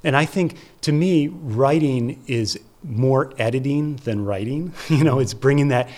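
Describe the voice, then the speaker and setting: American man explaining with measured emphasis. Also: mid-range voice, a little mellow. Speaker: theater festival producer and curator, interview guest. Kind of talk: conversational explanatory interview answers.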